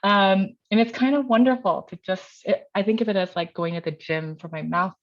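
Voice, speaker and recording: A woman's voice: mid-range voice, moderate pace. A middle-aged woman poet and teacher giving a reading of her work with informal, self-deprecating remarks. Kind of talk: poetry reading with casual introductory remarks. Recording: dull, lo-fi sound.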